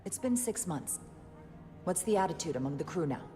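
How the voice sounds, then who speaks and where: A woman's voice: fairly low pitch, sing-song, a little fast, dark tone. A woman playing a composed, diplomatic first officer in a video game, speaking politely and formally. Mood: sympathetic. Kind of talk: cinematic video game dialogue. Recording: game audio with prominent music underneath.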